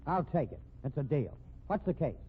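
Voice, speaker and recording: An actor with an American accent playing a cocky, needling young newspaper reporter, brash and taunting, in a 1950s radio drama. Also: male voice, on the high side, very animated, slightly rough, phone-quality sound. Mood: wary, eager. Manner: brash, taunting, quick-witted. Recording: thin, noisy 1950s radio recording.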